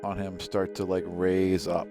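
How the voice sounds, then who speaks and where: A man running a tabletop fantasy game, narrating and bantering casually in a loose, halting way, quick to laugh. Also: low-pitched, monotone, warm tone. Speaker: game master. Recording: music clearly heard behind the voice. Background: background music.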